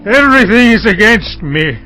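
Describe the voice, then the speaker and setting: Old hebrew father, grieving and aggrieved, high sharp voice, music under it, bible audio drama. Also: expressive, moderate pace, low-quality recording. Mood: anguished. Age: older. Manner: sorrowful, forceful pleading. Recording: music behind the voice.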